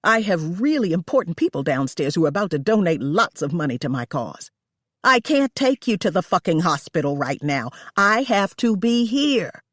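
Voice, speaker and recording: A woman reading aloud, voicing a cruel wealthy female employer who yells and screeches at her maid. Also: big pitch swings, moderate pace, no room sound, clean studio sound. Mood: furious. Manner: shrill, shouting.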